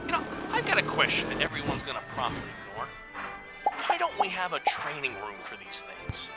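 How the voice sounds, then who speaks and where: A man playing a whiny, excitable, sarcastic sidekick creature in a comedic audio drama. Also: fairly high pitch, a little fast, loud music behind the voice. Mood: grumbling. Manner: sarcastic, complaining.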